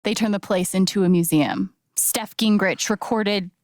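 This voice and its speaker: A woman playing a sincere, gently wry young game heroine, with an American accent and an understated, conversational delivery. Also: mid-range voice, moderate pace, no echo, studio quality, lightly acted. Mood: playful. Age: young adult. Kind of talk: naturalistic video game voice acting.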